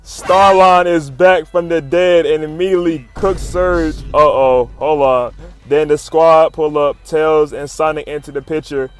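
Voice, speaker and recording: A man's voice: a little high, steady tone, a little slow. A man giving a casual, slangy commentary with swearing and fannish exclamations, retelling a story like a fan talking to friends. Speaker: YouTube comic recap narrator. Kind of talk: casual hype commentary, retelling comic fights. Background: music under the voice-over.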